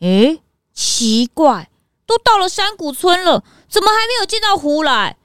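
A woman's voice: high-pitched, very animated, measured pace, fairly bright tone, no echo, studio quality, full character voice. A woman playing a chirpy, inquisitive young detective's sidekick, chatty and cheeky, in a children's audio drama. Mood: puzzled, curious.

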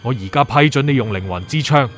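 A man's voice: mid-range voice, expressive, quick pace, music in the background, close to an everyday voice. A man playing a stern, authoritative superior officer who gives terse orders in a radio drama. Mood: stern, commanding. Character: stern, commanding male superior of soul prosecutors. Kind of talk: Cantonese radio drama acting.

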